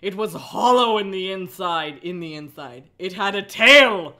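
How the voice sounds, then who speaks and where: A woman livestream host cold-reading aloud with mocking asides, animated, sarcastic and giggly, with casual swearing. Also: mid-range voice, measured pace.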